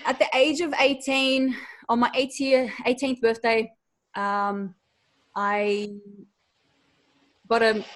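A young adult woman with an Australian accent speaks in a fairly high voice. She tells her story earnestly and from the heart, often pausing on fillers and starting her sentences over in a loose, conversational way. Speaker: podcast guest. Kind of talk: casual interview storytelling.

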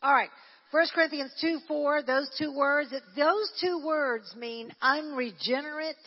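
A fairly high-voiced woman preaches a Pentecostal sermon, her words ringing out with a long echo around a church sanctuary. She talks loosely and restarts her sentences, then climbs into fervent, rousing delivery. The sound is muffled and lo-fi. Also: measured pace. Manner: conversational, with frequent restarts, rising to fervent preaching. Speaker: church minister leading a prayer service.

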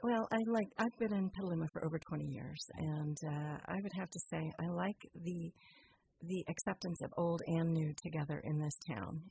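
A woman's voice: low-pitched, moderate pace, vocal fry, darkish tone, a little hiss or hum, poor audio. A woman speaking casually as a guest in an interview. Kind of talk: casual chat.

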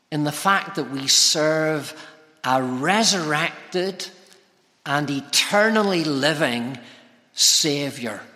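A man preaching a sermon in deliberate, measured phrases broken by pauses. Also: on the high side, pushed and bright. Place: large church interior, preaching to congregation. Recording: long reverberant church hall echo.

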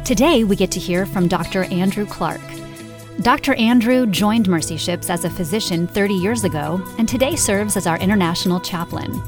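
A woman's voice: medium pitch, animated, normal speed, music in the background. A woman hosting an interview podcast, speaking in an enthusiastic, encouraging way. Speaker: podcast host. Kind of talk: scripted introduction and interview hosting.

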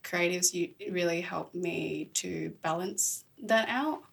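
Low, gravelly-voiced woman podcast host. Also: slow pace. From Australia. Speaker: podcast host, interviewed as guest. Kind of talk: casual conversational interview.

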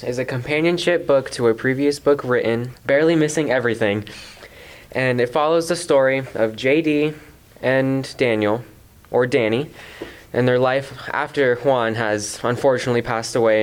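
A young man in his teens speaks casually on a podcast panel. His voice sits at a middle pitch for a male speaker. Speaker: teen reading ambassador, podcast panelist. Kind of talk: casual book discussion.

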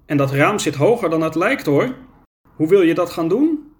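A man's voice: fairly high pitch, very animated, moderate pace, pitched above the performer's own voice. A man reading a storybook and voicing an elderly son worried about his mother. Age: older.

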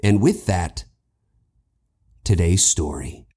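Low-pitched man hosting a podcast. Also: lively intonation, slow, dark tone, slightly rough. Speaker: podcast host. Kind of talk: conversational podcast introduction to a horror story.

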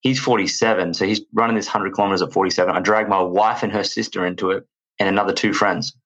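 A man in his thirties with an Australian accent, a podcast guest telling stories in an energetic, rambling way. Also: deep voice, fast talker, husky, low-quality recording. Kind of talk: casual chat.

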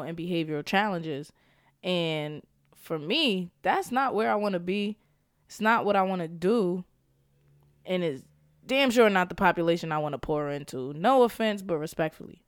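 A young woman hosts a laid-back solo podcast, sliding into slang and breaking into laughs. Her voice is smooth but a little stuffy from a cold. It is recorded close and dry, with no room sound. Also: on the low side, dry recording. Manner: laughs often, slangy and upbeat. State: slightly congested. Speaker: podcast host; school counselor. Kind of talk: casual solo podcast monologue.

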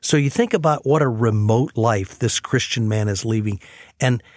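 A man with a mid-pitched voice tells stories in an earnest, reflective way. He sounds like a conversational radio host, recorded dry and close. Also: normal speed, darkish tone, no room sound. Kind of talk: conversational storytelling on a faith talk show.

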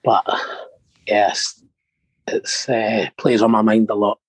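A man in his thirties, born in Morocco and raised in Scotland, speaking softly and hesitantly as an interview guest. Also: lowish voice, little pitch movement, takes it slowly, no echo. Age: 30s. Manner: soft-spoken, hesitant with many ums. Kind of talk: personal interview.

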